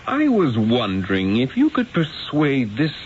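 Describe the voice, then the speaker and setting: An actor playing a wily, eloquent Renaissance painter in a radio drama, speaking in a theatrical, persuasive, rhetorical way. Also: male voice, mid-range voice, huge pitch swings, measured pace, slight background noise. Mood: smooth, persuasive. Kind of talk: old-time radio drama acting and first-person narration. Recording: dull lo-fi radio recording, music behind.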